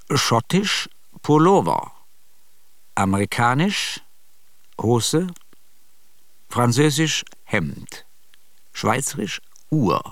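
Very slow guy doing radio-play spoken word, clipped phrases, lively pitch, bit of background noise. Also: no room sound.

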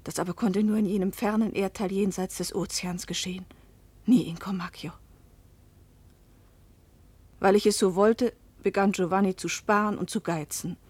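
Woman as restless fisherman's wife, first-person radio drama narration, middle pitch, dry close recording. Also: darkish tone, husky, no room sound, natural voice. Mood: bitter, determined. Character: restless, self-willed fisherman's wife recounting her past.

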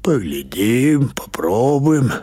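Old peasant moonshiner woman, husky, wry, grudging, audio drama, played by a male narrator. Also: medium pitch, very animated, takes it slowly, mellow, no echo, clean studio sound, pitched above the performer's own voice, heavily acted. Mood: wry agreement. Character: sardonic old village woman, smoker and moonshine maker.

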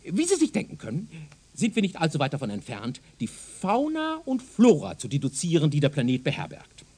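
Radio drama professor: high voice, hugely animated intonation, pedantic, zealous, insistent lecturer. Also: male voice, brisk. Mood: eager lecturing. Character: zealous, self-assured male professor of speculative science. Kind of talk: German radio drama acting.

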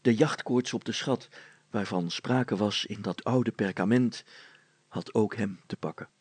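A man's voice: on the low side, a little slow, a little mellow, breathy edge, dry recording. A man reading a story as a scripted narrator.

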